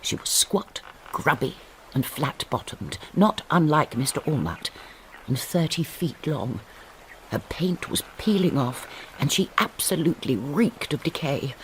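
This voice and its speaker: Cast a man as a dry-witted narrator, slightly high and very animated. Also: measured pace, slight background noise. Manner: lively, arch storytelling. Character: wry, dry-witted narrator looking back. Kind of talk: scripted narration. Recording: narration over a music bed.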